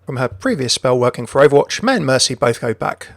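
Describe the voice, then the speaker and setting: A man's voice: medium pitch, very animated, fast talker, gravelly, no echo, clean studio sound. A man presenting a scripted video narration about a game character. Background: music.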